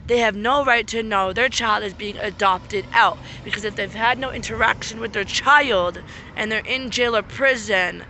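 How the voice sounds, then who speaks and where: Sick guy losing his voice, high and really bright tone, keeps clearing his throat, earnest. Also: slight background noise, low-quality recording. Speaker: vlogger making a public appeal. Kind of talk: unscripted appeal to camera.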